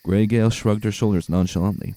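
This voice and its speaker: A man's voice: lowish voice, steady tone, brisk, mellow, no echo. A man giving a dramatic storybook narration as a scripted reader. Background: faint music.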